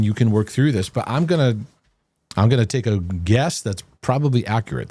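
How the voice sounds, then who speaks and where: Lively, animated man hosting a live Q&A. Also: on the low side, no room sound. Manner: earnest, playful with impressions and laughter. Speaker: host answering listener questions. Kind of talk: live Q&A on emotional health.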